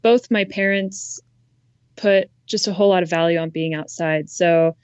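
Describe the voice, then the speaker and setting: A young woman from Ohio, a conservationist, talking in a relaxed, reflective way as a guest in an interview. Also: lowish voice, moderate pace, clean tone, no echo, dull audio. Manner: relaxed, reflective, frequent ums. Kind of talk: casual interview conversation.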